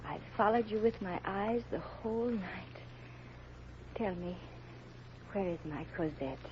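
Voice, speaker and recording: A woman's voice: medium pitch, unhurried, lightly acted. An actress playing a feverish, dying mother who pleads tremulously for her child in an old radio drama. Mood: weak, wistful. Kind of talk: 1930s radio drama acting. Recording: dull, noisy vintage radio recording.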